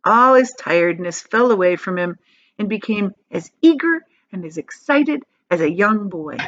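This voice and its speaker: A woman reading a children's story aloud as an expressive narrator, phrasing it deliberately. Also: medium pitch. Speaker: storybook reader, teacher. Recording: slightly dull, lo-fi sound.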